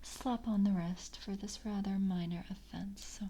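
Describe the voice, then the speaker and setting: A woman playing a composed, seductive vampire coven member in an ASMR roleplay, speaking in a soft, breathy whisper with slow, formal, intimate delivery. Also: mid-range voice, fairly even intonation, slight background noise, lightly acted. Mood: hungry, seductive.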